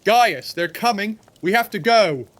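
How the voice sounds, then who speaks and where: An actor playing a loyal, urgent Roman friend in an audio drama. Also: male voice, sits high, animated, a little slow, a bit bright. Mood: urgent alarm.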